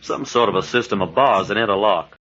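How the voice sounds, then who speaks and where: An actor playing a hot-headed, loyal sidekick with an American accent and blunt folksy slang, in 1940s radio style. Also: male voice, fairly low pitch, fairly quick, fairly bright tone, soft background music, lightly acted. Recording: muffled, noisy vintage radio recording.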